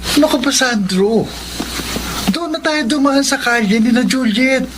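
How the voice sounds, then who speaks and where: A man's voice: very high voice, unhurried, loud music behind the voice. A man playing a puzzled, nagging buddy in a Filipino radio drama, with a whiny, exclamatory delivery. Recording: noisy radio drama recording.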